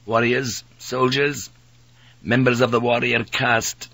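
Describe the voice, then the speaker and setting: A man voicing a hostile, hot-tempered priest in his twenties from Portugal, impatient and sharp as he interrogates, in an audiobook. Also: mid-range voice, moderate pace, slightly rough, dry recording, low-quality recording. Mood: irritated, stern.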